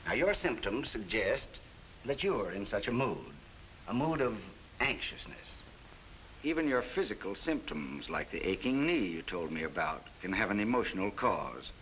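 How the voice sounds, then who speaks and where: A man playing a calm, reassuring psychiatrist in a 1940s training film, explaining things in a measured way. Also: fairly low pitch, a little hiss or hum, low-quality recording.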